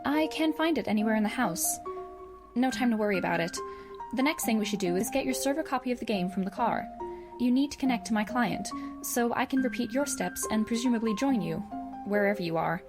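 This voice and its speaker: A woman playing a composed, pragmatic teenage girl with a dry, precise, deadpan manner in a web audio drama. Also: a little high, fairly quick, music in the background. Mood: businesslike.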